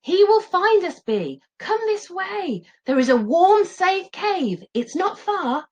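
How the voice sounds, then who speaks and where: A woman's voice: very high-pitched, very animated, slow, darkish tone, pitched above the performer's own voice, exaggerated voice. A woman voicing a worried, protective Stone Age father in a storybook, calling out urgently and pleadingly.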